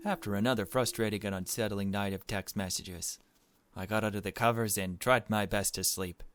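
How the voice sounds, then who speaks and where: Male let's play host, lowish voice, reads game text aloud with casual asides over music. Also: fairly even intonation, moderate pace, fairly bright tone, clear, resonant tone. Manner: casual self-commentary between read passages. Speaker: gaming video host.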